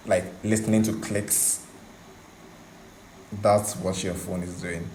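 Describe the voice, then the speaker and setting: A man hosting a tutorial, explaining in a casual, conversational, halting way and often repeating himself. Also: sits low, darkish tone. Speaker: host of a coding tutorial channel. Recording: roomy echo, faint background noise.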